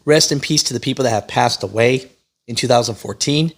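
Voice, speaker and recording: A man's voice: medium pitch, a little fast. A man hosting a news channel, reading and explaining in a casual, opinionated, conversational way. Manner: conversational, opinionated, often checks in with "right?" and "you know". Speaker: host of a trucking news channel.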